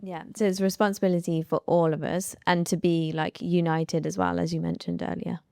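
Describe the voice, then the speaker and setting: Woman hosting a panel, clear smooth voice w some vocal fry, casual. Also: fairly low pitch, level tone, fairly quick, darkish tone, no echo, studio quality. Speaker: host, moderator.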